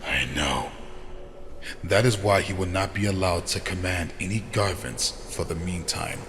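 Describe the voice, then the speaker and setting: A man playing a solemn, formal vampire elder with measured delivery in a fantasy audio drama. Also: deep voice. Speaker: voice actor. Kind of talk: scripted fantasy character dialogue. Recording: long reverb, faint noise.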